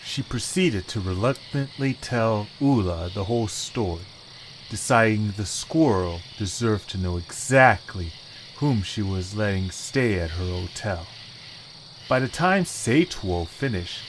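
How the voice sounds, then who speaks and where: A man's voice: fairly low pitch, lively intonation, measured pace, a little hiss or hum, low-quality recording. A man reading scripted narration for an audio story as its narrator.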